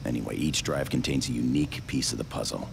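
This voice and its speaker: An actor playing a gruff, determined rebel captain in a video game, with a gravelly, commanding and grave delivery. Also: male voice, extremely low pitch, fast talker, background noise, heavily acted. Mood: grave, grateful. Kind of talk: video game cutscene acting.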